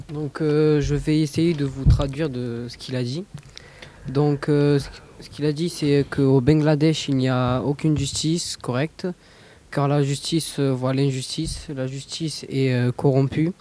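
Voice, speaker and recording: A man's voice: medium pitch, warm tone. A teenage male student with a French accent, hesitantly asking questions and interpreting informally.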